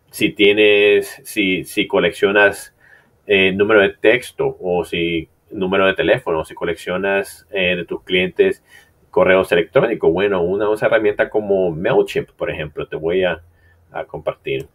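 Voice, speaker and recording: A man's voice: sits low, steady tone, unhurried, fairly bright tone. A middle-aged man casually walking through a screen-share tutorial on social media marketing, chatty and loose, with many fillers and restarts. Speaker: marketing instructor.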